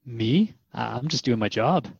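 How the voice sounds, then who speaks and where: A man's voice: medium pitch, expressive, measured pace, vocal fry, breathy edge, no room sound, exaggerated voice. Guy playing a modest earnest radio host doing an interview, radio drama, dry and a bit dull sounding.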